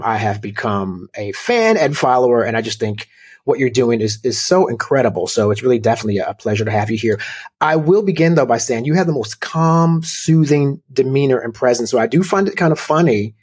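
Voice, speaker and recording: A man hosting a podcast interview, bubbly and quick to laugh. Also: medium pitch, animated, slightly rough. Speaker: podcast host.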